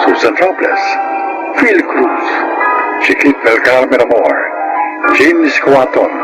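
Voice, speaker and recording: Male announcer, formal measured reading, scripted radio credits, loud music bed. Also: medium pitch, low-quality recording.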